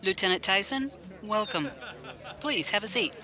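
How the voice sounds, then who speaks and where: A woman playing a brisk, formal female military lawyer in a science fiction audio drama. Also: mid-range voice, expressive, a crowd in the background, close to an everyday voice.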